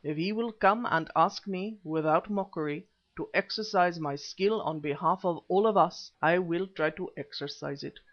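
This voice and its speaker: A man's voice: high-pitched, moderate pace, hardly any pauses, fairly bright tone. A man voicing a proud, aging warrior-diviner with a grave, formal, oratorical manner in an audiobook adventure story. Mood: solemn, proud. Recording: slightly dull, lo-fi audio.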